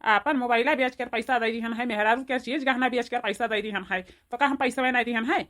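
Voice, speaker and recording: Woman acting as a cartoon village man, Awadhi accent, high-pitched, sharp bright tone, hectoring, threatening, repetitive. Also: steady tone, a little fast, natural voice. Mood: indignant. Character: angry, threatening village man betrayed by his lover.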